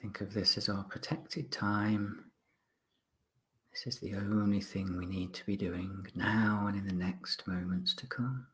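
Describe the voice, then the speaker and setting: A man instructing listeners through a guided body scan relaxation, speaking politely in short phrases with pauses. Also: lowish voice, fairly even intonation, fairly bright tone.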